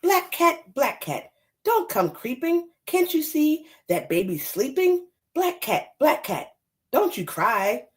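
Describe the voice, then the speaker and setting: A woman voicing an anxious storybook mother, pleading in a sing-song hush to protect her sleeping baby. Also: high-pitched, big pitch swings, slow, pitched above the performer's own voice, heavily acted.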